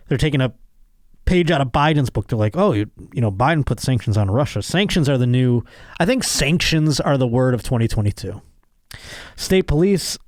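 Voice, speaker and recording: A man's voice: medium pitch, a little mellow, dry recording, clean studio sound. A man with an American accent hosting a comedy podcast, reading out news and riffing on it in a casual, sardonic, profane way. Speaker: podcast host.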